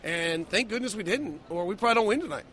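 Bright, high-voiced man talking sports. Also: expressive, quick pace, slight background noise. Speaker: play-by-play radio host. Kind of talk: post-game sports analysis.

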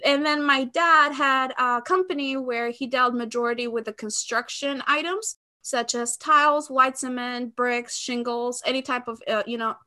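High, bright Albanian-accented young woman. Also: steady tone, moderate pace, hardly any pauses. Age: around 30. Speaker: podcast guest, sales expert. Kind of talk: conversational interview answers.

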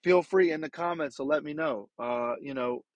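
A young man in his late twenties talks into a microphone on his own podcast, his fairly high voice nasal and stuffy from a week of illness. He rambles casually, restarting phrases and leaning on fillers. The sound is dry and close, with no room around it. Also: moderate pace, no echo. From Maryland. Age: late 20s. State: sick. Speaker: podcast host.

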